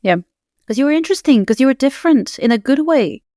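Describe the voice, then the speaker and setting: A woman's voice: high voice, animated, fast, dark tone, dry recording, clean studio sound. A woman hosting a podcast who talks in an emphatic, persuasive way. She builds her points up in lists. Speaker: podcast host. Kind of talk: casual podcast conversation.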